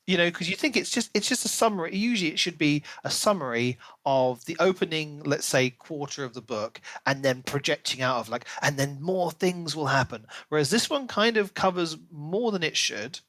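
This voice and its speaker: Higher-voiced man chatting on a podcast. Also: expressive, normal speed, few pauses, a bit bright, dry recording. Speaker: podcast host. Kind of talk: casual book review discussion.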